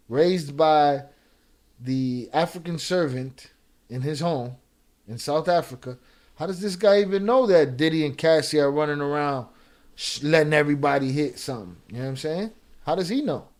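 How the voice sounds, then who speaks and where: A man commenting live on a video, sarcastic and animated, with many restarts. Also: a little high, moderate pace. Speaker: reaction streamer.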